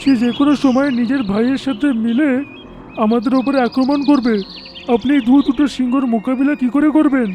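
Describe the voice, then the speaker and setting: A woman's voice: high-pitched, steady tone, brisk, warm tone, slight background noise, lightly acted. A woman voicing a small, alert, anxious talking parrot in a cartoon. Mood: worried. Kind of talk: cartoon voice acting.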